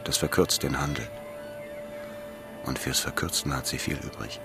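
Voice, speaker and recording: An actor playing a mysterious, fatherly older man with a measured, cryptic way of talking in a radio drama. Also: a bass voice, vocal fry. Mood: urgent, businesslike. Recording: music behind the voice. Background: music.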